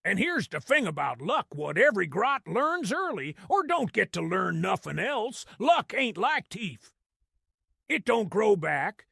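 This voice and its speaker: Gravelly high-pitched Cockney grot. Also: male voice, big pitch swings, unhurried, sharp tone, no room sound, studio quality, full character voice. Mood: conspiratorial warning. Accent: Cockney-style English. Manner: wry, conspiratorial, streetwise, ungrammatical slang. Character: small cunning cowardly male grot narrator, wry storyteller. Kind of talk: in-character audio drama storytelling.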